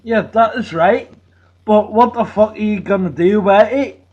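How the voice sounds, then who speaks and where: A man's voice: high voice, moderate pace, breathy edge. A man with an Irish accent rambling on a live chat, repeating himself with foul-mouthed, confrontational talk. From Ireland. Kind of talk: rambling, sweary casual chat on a live stream. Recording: dull lo-fi stream audio, faint noise.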